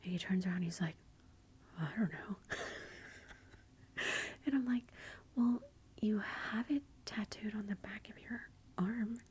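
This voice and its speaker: A woman's voice: mid-range voice, a little slow, pauses often, husky. A woman, whispering a rambling, amused story in ASMR style. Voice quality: soft whisper. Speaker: ASMR vlogger.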